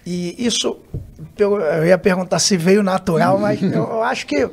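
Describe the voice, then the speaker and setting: A man's voice: sits high, normal speed, gravelly, darkish tone, breathy edge. A man hosting a podcast interview, asking questions casually and restarting his sentences as he goes. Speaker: podcast host.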